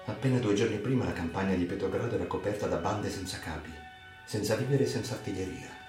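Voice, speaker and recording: A man reads a dramatic literary narration for radio theatre in a theatrical, emphatic, measured way. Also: lowish voice. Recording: roomy echo with music underneath. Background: music.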